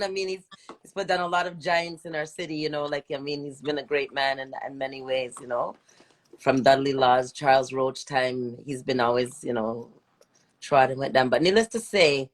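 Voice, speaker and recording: A woman's voice: rumbling low, moderate pace. A middle-aged woman, interviewing casually on a livestream and slipping into patois. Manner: chatty, slips into patois. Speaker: interviewer and live show host. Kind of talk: casual live interview chat. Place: livestream video call.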